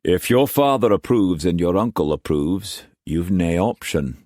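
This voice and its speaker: A man voicing a grave, weary merchant with a Scottish accent, speaking low and measured. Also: expressive, dark tone, dry recording, studio quality.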